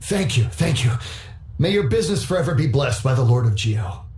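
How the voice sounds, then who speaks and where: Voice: mid-range voice, big pitch swings, brisk, a little mellow, echoey room, a little hiss or hum, natural voice. An actor voicing a nervous, groveling male mansion guard who stammers and pleads. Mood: panicked.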